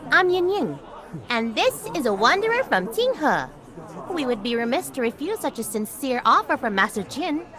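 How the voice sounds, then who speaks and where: Bold, sly, teasing wanderer in a game cutscene; high-pitched, animated delivery. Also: female voice, normal speed, a crowd in the background. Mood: cheeky, breezy. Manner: teasing, cheeky. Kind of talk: video game cutscene acting. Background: background music.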